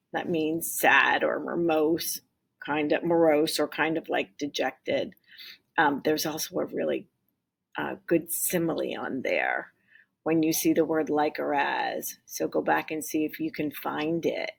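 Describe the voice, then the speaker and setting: A woman with a low, rather husky voice reads a children's storybook aloud. She is a teacher, acting out the dialogue expressively and pausing to explain things to pupils.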